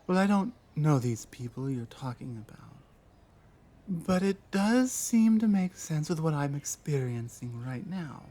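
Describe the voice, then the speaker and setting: Woman voicing a female orc warrior, guarded and lonely, slow deliberate delivery, halting earnest phrasing, mid-low pitch, ASMR audio drama. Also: big pitch swings, mellow, full character voice. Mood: cautious wonder.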